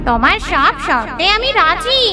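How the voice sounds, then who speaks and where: A woman's voice: very high-pitched, sing-song, moderate pace. A woman voicing a greedy female ghost in a cartoon, demanding and exaggerated in a singsong way. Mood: agreeable, eager. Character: greedy female ghost craving rotten yogurt. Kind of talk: Bengali cartoon voice acting. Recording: loud music behind the voice. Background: music.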